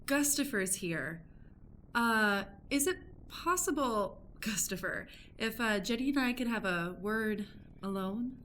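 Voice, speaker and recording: A woman plays an earnest, nosy lady reporter in a comic radio play. Her voice sits fairly high, and she speaks politely in halting, stammering phrases. There is faint noise behind her. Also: expressive, fairly bright tone. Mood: confiding. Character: nosy, earnest woman journalist Jessica, eager and awkward. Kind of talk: improvised comedic radio play.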